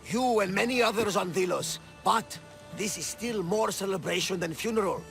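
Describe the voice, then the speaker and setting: Casting: a scarred, jovial second-in-command at sea for a game, rough creaky voice pitched high. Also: male voice, normal speed, over music. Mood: wry, storytelling. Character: hearty, battle-scarred one-eyed sailor lieutenant, loyal and celebratory. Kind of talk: cinematic video game acting.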